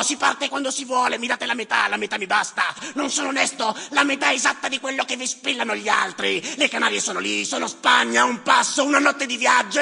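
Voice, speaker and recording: A man playing a cocky, boastful teenage boy who pilots a boat, shouting his promises on a stage with long reverb. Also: very high-pitched, gravelly, slightly rough, voice pushed up, full character voice.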